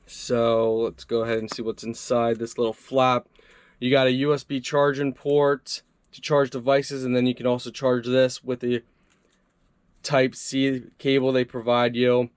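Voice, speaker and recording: Chatty guy showing off a gadget, easygoing review talk, middle pitch. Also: flat delivery, measured pace, smooth, no room sound. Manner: upbeat, chatty, talks to viewers as "guys". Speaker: product reviewer. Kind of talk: casual hands-on product review.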